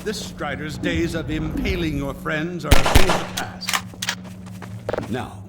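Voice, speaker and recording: An actor playing a brusque, self-satisfied older rocket scientist in a video game, pompous, sarcastic and officious. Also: male voice, fairly high pitch, a little slow, heavy background noise, lightly acted. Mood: urgent, self-important. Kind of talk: video game character acting. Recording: echoey, loud game music and gunfire.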